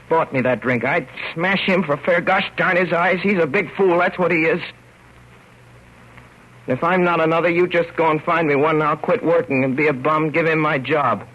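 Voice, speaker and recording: An actor playing a lovesick, self-reproaching young working-class American fellow in a slangy, plain-spoken 1930s radio monologue. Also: male voice, on the high side, natural voice. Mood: bitter, angry. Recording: muffled old radio broadcast.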